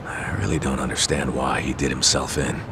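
An actor playing an earnest, gruff middle-aged man in a video game, speaking hesitantly with a gravelly voice. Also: very deep voice, steady tone, a little fast, noisy background. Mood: explanatory. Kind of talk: English video game cutscene dub. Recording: music behind the voice.

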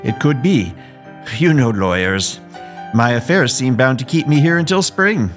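A man playing an affable, genteel Victorian country gentleman in an expressive, theatrical audio drama style. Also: mid-range voice, measured pace, soft background music, close to an everyday voice.

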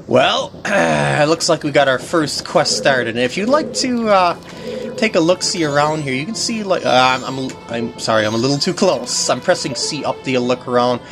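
Animated, rambling game walkthrough host. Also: medium pitch, normal speed, music in the background. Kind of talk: casual gameplay commentary.

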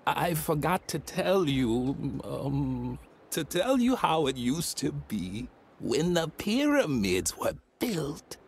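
A man's voice: fairly high pitch, big pitch swings, takes it slowly, breathy edge, heavily acted. An actor voicing an ancient, muddled old man in a game who rambles boastful tall tales. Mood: rambling. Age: older.

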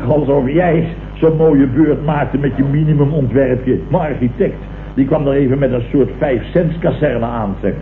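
An actor playing a self-important, indignant building contractor in a Dutch radio comedy, grumbling and ranting with sarcasm in a colloquial Dutch accent. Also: male voice, mid-range voice, moderate pace, natural voice. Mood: sarcastic, annoyed. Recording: tinny, hissy old radio recording, roomy.

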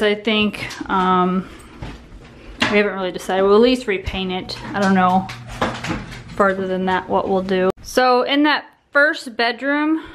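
A young adult woman casually walking through a house under renovation on a handheld phone, talking in a relaxed, rambling way with restarts. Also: mid-range voice, slight background noise. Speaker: vlogger giving a home tour. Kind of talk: casual walkthrough narration. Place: indoors, empty rooms of a house under renovation. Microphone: handheld phone camera.

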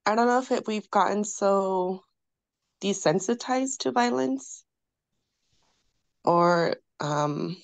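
A woman's voice: mid-range voice, slow pace, gravelly, pauses often, no room sound. A woman in a reading group discussion, speaking reflectively and thoughtfully over a video call. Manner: reflective, thoughtful, with frequent fillers. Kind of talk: casual discussion.

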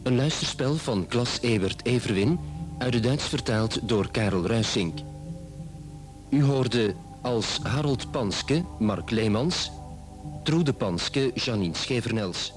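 Male announcer for radio drama credits, unhurried delivery, medium pitch, music behind. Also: expressive. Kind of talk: scripted closing credits announcement.

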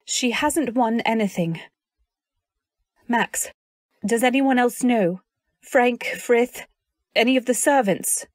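A woman's voice: fairly high pitch, slow, long pauses, no room sound, clean studio sound. A woman playing a fiercely loyal, protective wife in a radio drama, speaking earnestly and with dramatic emphasis. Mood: urgent. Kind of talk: old-time radio drama acting with first-person narration.

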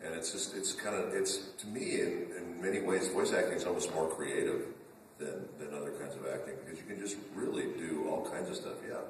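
A man's voice: deep voice, brisk, slight background noise. A male voice actor answering fan questions on a convention panel, chatty and joking, with frequent restarts. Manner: chatty, jokey storyteller with many restarts. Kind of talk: casual convention Q&A. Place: large convention function room. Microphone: table mic seen from far camera. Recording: echoey hall from far off.

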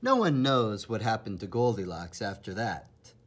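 A man reading a children's storybook aloud in an expressive, dramatic, deliberate way, with a husky, breathy voice. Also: fairly low pitch, slight background noise.

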